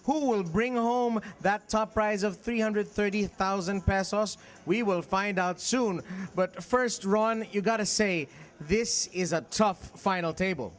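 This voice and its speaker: A man's voice: high voice, unhurried. A man hosting a poker tournament broadcast, introducing the final table in an upbeat announcer delivery. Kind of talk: broadcast presenting.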